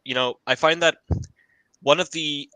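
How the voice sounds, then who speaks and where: A young man with a bright, sharp tone answers a panel question in a dry, close recording. He gropes for words, restarting and filling pauses. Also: a little high, steady tone, clear, resonant tone, no echo, rough recording. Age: young adult. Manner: hesitant, many fillers and restarts. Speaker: college student panelist. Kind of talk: casual podcast panel answer.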